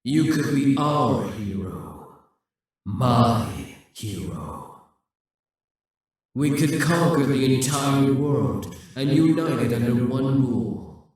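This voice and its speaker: A man's voice: medium pitch, slow pace, a little mellow. A man voicing a possessive, scheming male demon king in an audio roleplay, speaking in a mocking, menacing way.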